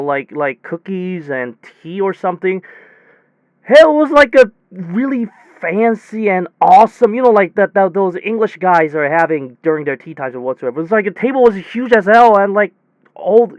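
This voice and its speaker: A man playing a rattled technician in an audio drama, telling his story conversationally in halting, repetitive bursts full of false starts and self-corrections. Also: high voice, no echo, dull audio. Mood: excited, bewildered. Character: rattled, rambling young technician retelling a bizarre demon encounter. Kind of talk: hobby audio drama monologue, conversational retelling.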